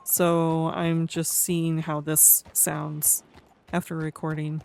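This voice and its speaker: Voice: medium pitch, monotone, takes it slowly, creaky, a little mellow, slight background noise. A game streamer with a speech impediment, talking casually and reading aloud during roleplay, often tongue-tied and stumbling over words. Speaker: streamer playing a roleplay character.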